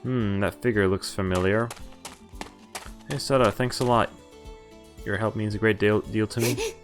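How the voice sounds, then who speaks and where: A man's voice: lowish voice, steady tone, moderate pace. Man reading a visual novel over music. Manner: casual, lightly acting out dialogue. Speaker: streamer. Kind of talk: reading a visual novel aloud with casual reactions. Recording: music playing behind the voice. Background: game music.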